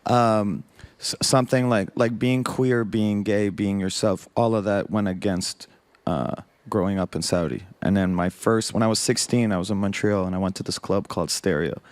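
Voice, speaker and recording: Low-voiced man, earnest panel talk. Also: steady tone, normal speed, darkish tone, no room sound. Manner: earnest, laughs while telling stories. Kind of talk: panel discussion.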